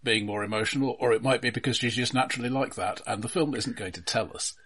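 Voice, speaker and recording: A man's voice: mid-range voice, fast, a bit bright, slightly rough. A man co-hosting a film podcast, offering considered, analytical points in a casual and somewhat hesitant way. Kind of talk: casual film review discussion.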